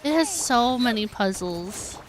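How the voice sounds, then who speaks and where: A woman's voice: fairly high pitch, expressive, a little slow, slight background noise, poor audio. A woman game streamer giving chatty, informal, self-deprecating live commentary as she plays. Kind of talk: casual live gameplay commentary.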